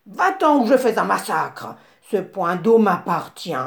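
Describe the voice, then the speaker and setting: A woman voicing a furious, greedy male baboon who snarls and shouts threats in a storybook tale. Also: mid-range voice, big pitch swings, unhurried, gravelly.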